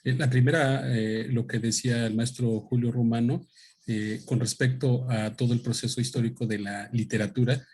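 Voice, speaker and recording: A man gives an unscripted talk about his book into a microphone, thinking aloud with many pauses and restarts. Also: mid-range voice, flat delivery, a little mellow, slight background noise. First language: Spanish. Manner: thoughtful, hesitant, with many fillers and restarts. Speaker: author presenting his book.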